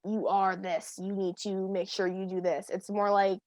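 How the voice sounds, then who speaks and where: A woman's voice: medium pitch, little pitch movement, normal speed, darkish tone, no echo. Teen girl guest, chatty and filler-heavy, dry close sound. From United States. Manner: chatty, many fillers and laughs. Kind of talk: casual chat.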